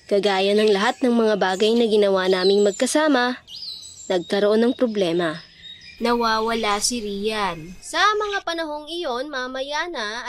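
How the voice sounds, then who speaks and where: A woman's voice: fairly high pitch, a little hiss or hum, lightly acted. A woman playing a young female student telling her love story in an earnest, poetic radio drama narration. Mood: wistful, then anxious, tearful memory.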